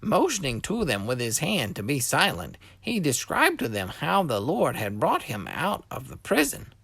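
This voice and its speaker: Man, children's Bible narrator, expressive scripted reading, creaky voice, dry close sound. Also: medium pitch, normal speed, dry recording.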